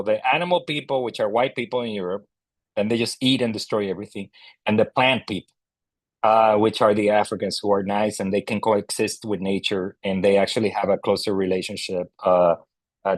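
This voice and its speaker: A man's voice: fairly low pitch, no echo. A man speaking as a literary historian at an online book club, casual and digressive, with many fillers and restarts. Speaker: translator and scholar guest.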